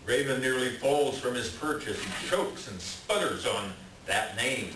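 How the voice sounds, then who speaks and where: A man's voice: medium pitch, animated, measured pace, fairly bright tone. A man reading scripted storytelling narration as the narrator of a readers theater piece. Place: library room at a live reading. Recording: very echoey room, distant mic, faint noise.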